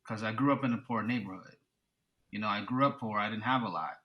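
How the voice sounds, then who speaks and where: A man's voice: lowish voice, quick pace, highs cut off. A man in his thirties from the United States, a podcast guest who talks things through thoughtfully and often says "you know". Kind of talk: casual conversation.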